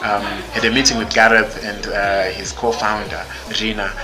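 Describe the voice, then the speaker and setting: A man's voice: fairly low pitch, little pitch movement, moderate pace, low-quality recording. A young man from Zimbabwe, talking casually and emphatically as a guest in an interview. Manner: outspoken and emphatic. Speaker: podcast guest. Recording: music playing clearly underneath.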